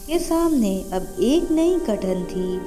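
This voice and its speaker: A woman's voice: a little high, big pitch swings, slow pace, music in the background. A woman narrating a scripted fairy tale as a storyteller.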